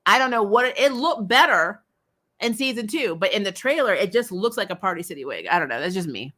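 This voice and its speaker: Bright-voiced, chatty American woman. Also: mid-range voice, a little fast. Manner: animated, frequently laughing, blunt and informal with mild swearing. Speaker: host of a TV recap show. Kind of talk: casual opinionated fan chat, reading and answering comments.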